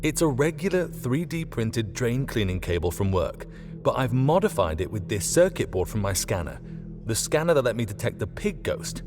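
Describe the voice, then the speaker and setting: Man playing an eager, animated tinkering inventor. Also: medium pitch, normal speed, music in the background, natural voice. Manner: enthusiastic, informal.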